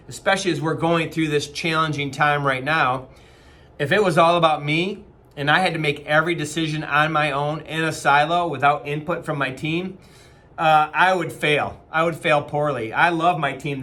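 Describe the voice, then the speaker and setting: Bright-voiced man talking to camera. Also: on the high side, steady tone, normal speed. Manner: earnest, conversational, frequent fillers and restarts. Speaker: company leader. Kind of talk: casual motivational talk to camera. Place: small office indoors. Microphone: webcam at desk distance.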